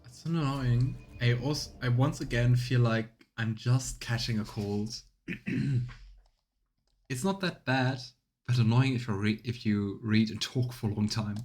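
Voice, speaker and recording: A man's voice: medium pitch, measured pace, a little mellow. A man doing casual let's play commentary, chatting as he thinks aloud and reads game text. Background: faint game music.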